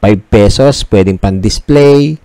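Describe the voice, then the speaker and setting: A Filipino man narrating a casual shop tour as a vlogger, talking conversationally and pitching items like a salesman. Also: unhurried, warm tone, clean tone, dry recording.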